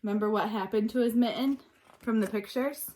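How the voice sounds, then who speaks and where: A woman with a fairly high voice reads a picture book aloud to young children. She is expressive, mixing storybook narration with simple questions and explanations, in the manner of a teacher. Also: fairly quick, low-quality recording.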